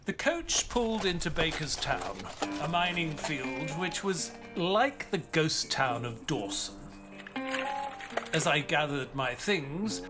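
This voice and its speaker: A man with an English accent playing a proper army doctor, who narrates and speaks with polite, dry exasperation. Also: fairly high pitch. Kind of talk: audio drama narration.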